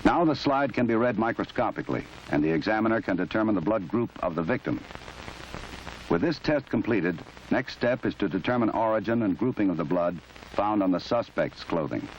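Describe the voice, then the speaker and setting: Guy narrating an old 70s training film, lowish voice, formal and precise, muffled dull sound w some noise. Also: animated. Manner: measured, precise, instructional. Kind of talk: formal scripted documentary narration.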